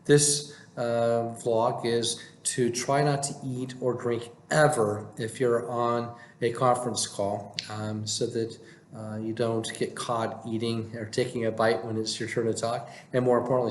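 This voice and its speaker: A man talking casually to camera as a vlogger giving advice, with halting delivery and frequent hesitations. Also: fairly low pitch, little pitch movement. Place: indoor room with plain wall, working from home. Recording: echoey room with faint background noise.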